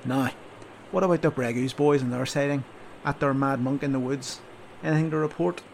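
A man voicing a blustering, sarcastic army sergeant who lectures a young soldier in loud, drawn-out scolds. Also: medium pitch, steady tone, gravelly, a little hiss or hum, voice pushed up, lightly acted. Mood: sardonic scolding. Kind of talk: audiobook character voice.

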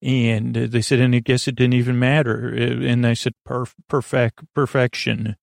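A man with a creaky voice hosting a bedtime podcast in a meandering, halting monologue, often stammering and restarting as he drifts into tangents. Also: mid-range voice, level tone, dry recording, clean studio sound. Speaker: podcast host.

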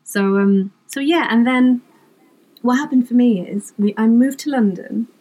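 A woman's voice: on the high side, unhurried, warm tone, rough recording. Chatty self-deprecating Welsh woman. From Wales. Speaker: comedy writer, guest. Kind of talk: casual interview chat.

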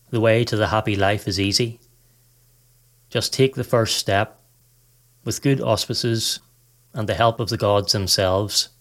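Male podcast narrator, lower register, measured delivery for scripted guided meditation. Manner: measured, instructional, reading aloud.

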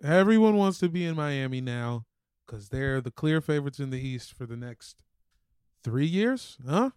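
A man's voice: mid-range voice, very animated, normal speed, a little mellow, smooth, no room sound, studio quality. A man co-hosting a podcast in casual sports chat, with a flippant, slangy way of talking. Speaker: podcast co-host.

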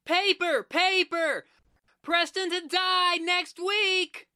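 A woman's voice: extremely high pitch, fairly even intonation, heavily acted. A woman playing a young newspaper seller in a 1940s-style radio drama, crying headlines in the street. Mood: hawking urgently. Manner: loud drawn-out street cries.

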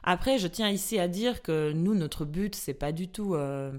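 Podcast co-host, woman, non-native French speaker, low voice, earnest and quick to laugh. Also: animated. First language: not French. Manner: earnest, laughs easily, rephrases as she talks. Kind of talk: casual podcast chat. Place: studio in a Swiss city.

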